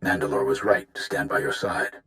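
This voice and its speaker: An actor playing a seasoned, watchful warrior advisor in a video game, speaking in a measured, grave way. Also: male voice, rumbling low, bright, forceful tone. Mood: guarded.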